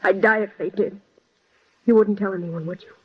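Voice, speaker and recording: An actress playing an anxious, secretive young secretary in an old-time radio drama. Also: female voice, medium pitch, steady tone, normal speed, husky, close to an everyday voice. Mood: frightened, confiding. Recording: dull vintage radio drama recording.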